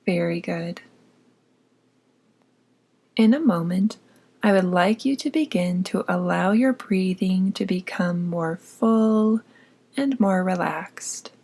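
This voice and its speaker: A woman narrating a guided meditation in a soft, breathy voice, with a soothing, gently instructing manner. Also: fairly low pitch, slow, long pauses. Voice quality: soft, breathy, often near whisper.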